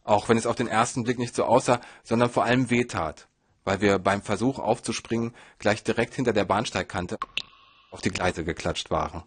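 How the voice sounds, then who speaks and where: A man narrating his own story from a script in a measured, literary storytelling manner. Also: fairly low pitch, husky. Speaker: narrator and filmmaker.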